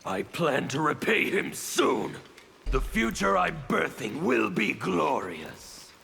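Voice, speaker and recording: A deranged villain of chaos from a fighting game, with an extremely gravelly, strained rasp. His pitch is high for a man and swings wildly, and he speaks with grandiose, theatrical menace. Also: wildly swooping pitch, slow pace, pushed and bright, slight background noise, heavily acted. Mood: menacing. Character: Havik, deranged male chaos zealot with a mutilated face. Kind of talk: fighting game character banter.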